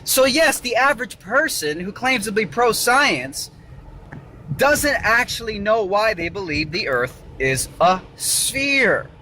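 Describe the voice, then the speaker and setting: A man's voice: high-pitched, big pitch swings, takes it slowly, bright, forceful tone. A man delivering an emphatic, repetitive, argumentative video monologue, lecturing from inside a car. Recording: faint vehicle noise. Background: faint car noise.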